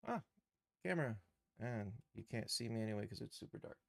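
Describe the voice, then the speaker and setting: A man giving an unscripted software tutorial on a livestream, halting and thinking aloud as he works. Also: fairly low pitch, animated, vocal fry, no echo, studio quality, soft background music. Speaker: livestream host and game developer.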